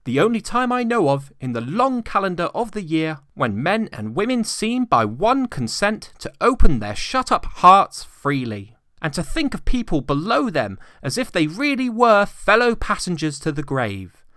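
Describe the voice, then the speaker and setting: A man voicing a cheerful, earnest, warm-hearted young gentleman in a storybook reading. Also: sits high, very animated, normal speed, dry recording, clean studio sound, pitched above the performer's own voice, exaggerated voice. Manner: bright, eager, heartfelt.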